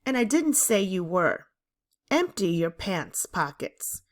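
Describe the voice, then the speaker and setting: Woman as a stern, slow-speaking schoolteacher. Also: medium pitch, very animated. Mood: stern, correcting. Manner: measured, firm, correcting. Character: stern, probing female fifth-grade teacher.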